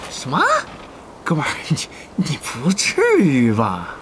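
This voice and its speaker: Wheedling young male gamer, very animated. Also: medium pitch, slow pace, background noise. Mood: exasperated. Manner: chummy, expressive, bargaining, full of interjections. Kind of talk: Chinese audio drama acting.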